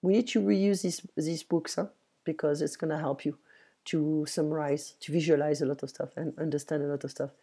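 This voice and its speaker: Female language tutor on a video call, low and mellow voice, unhurried bilingual explanations. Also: fairly even intonation, husky. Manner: switches between French and English, slow and explanatory. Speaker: French teacher. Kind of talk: online language lesson.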